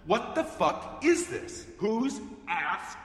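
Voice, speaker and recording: A man's voice: sits high, sing-song, fairly bright tone, heavily acted. An actor in his fifties playing an indignant, sardonic intelligence analyst in a film, theatrical and profane, through an electronic voice changer. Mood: outraged, indignant. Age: 50s. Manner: theatrical, deliberate, profane, incredulous. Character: indignant, sardonic middle-aged intelligence analyst being demoted. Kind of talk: film acting. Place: small office. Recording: echoey hall-like reverb, background noise.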